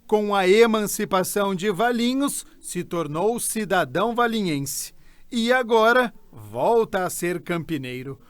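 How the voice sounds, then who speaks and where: A man with a Brazilian accent reading scripted news narration in an even, formal way. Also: high-pitched, a little slow, smooth, no echo. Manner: even, formal newsreader delivery. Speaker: news reporter.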